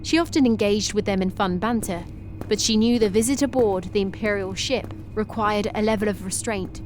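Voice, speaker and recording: A woman giving even, measured scripted narration for an animated sci-fi story. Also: mid-range voice, slight background noise, low-quality recording.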